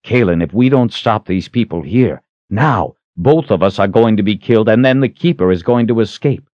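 A man's voice: lowish voice, lively intonation, moderate pace, darkish tone, no echo, dull audio, voice pushed up, natural voice. A man voicing a cold, defiant fantasy hero in an audiobook, taunting and intense. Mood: urgent resolve. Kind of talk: dramatic audiobook character acting.

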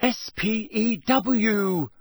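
Storybook role: bossy, earnest teenage girl played by a man, pitched up, brisk and insistent, very animated delivery. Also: breathy edge, no echo, clean studio sound. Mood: indignant.

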